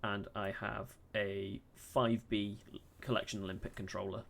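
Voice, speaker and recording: Low-voiced relaxed male streamer. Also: measured pace, gravelly. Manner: relaxed, laughs often, reads chat aloud. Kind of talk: casual game stream chat.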